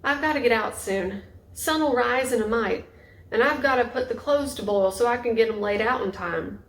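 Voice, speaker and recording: A woman's voice: fairly high pitch, normal speed, natural voice. A woman voicing a weary, poor rural mother in a story reading, with plain rustic dialect. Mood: weary, defensive. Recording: echoey room, faint noise.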